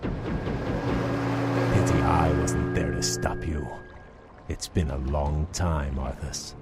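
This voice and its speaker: An actor playing a proud, vengeful elf prince in a game cutscene, measured and coolly taunting. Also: male voice, very deep voice, fairly even intonation, over loud music, heavily acted. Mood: bitter, taunting. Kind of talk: video game cutscene acting.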